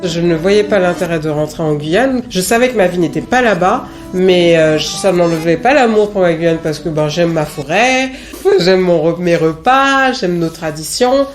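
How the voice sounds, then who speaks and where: A woman's voice: on the low side, expressive, moderate pace, soft background music. A woman in her late thirties from French Guiana giving a reflective personal testimony, speaking candidly and with self-assured emphasis. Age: late 30s. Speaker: interviewee.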